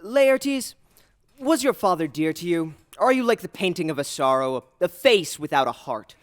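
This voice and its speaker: A male actor playing a scheming, manipulative king in a Shakespearean audio drama, speaking in a deliberate, persuasive, theatrical way. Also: sits high, sing-song. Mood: scheming, goading. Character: scheming usurper king, smooth, manipulative middle-aged man.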